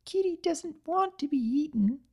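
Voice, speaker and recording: Tiny living gingerbread girl for a cartoon story: very high, childlike, timid, halting. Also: lively intonation, darkish tone. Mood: timid insistence. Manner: simple broken third-person speech, halting.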